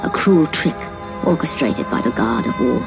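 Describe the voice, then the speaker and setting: An actress playing a grave storyteller, narrating a mythic video game tragedy in a solemn, portentous way over music. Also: female voice, a bass voice, animated, moderate pace, creaky, exaggerated voice. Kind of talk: dramatic scripted game cutscene narration. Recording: loud music behind the voice.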